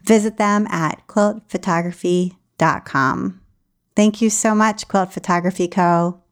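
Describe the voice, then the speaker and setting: A woman's voice: fairly low pitch, steady tone, a little slow, clean tone. A woman hosting a podcast, reading an ad and interviewing a guest in a friendly, upbeat way with frequent laughs. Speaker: podcast host.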